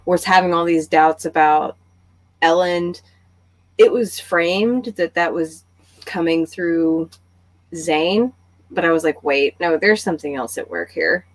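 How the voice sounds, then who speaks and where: A woman's voice: lowish voice, unhurried, rough recording. A woman hosting a casual book club discussion, talking conversationally and thinking aloud as she goes.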